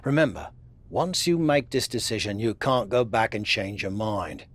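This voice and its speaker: A man's voice: lowish voice, normal speed, dry recording, natural voice. An actor playing a cautioning, wry male advisor to a wrestler in a video game cutscene.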